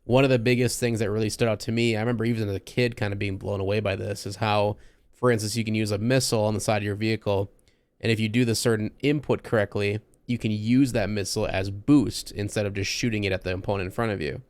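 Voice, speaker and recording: A man's voice: on the low side, steady tone, quick pace, darkish tone, dry recording. A man hosting a casual podcast chat, talking enthusiastically and rambling a little with restarts. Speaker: podcast host.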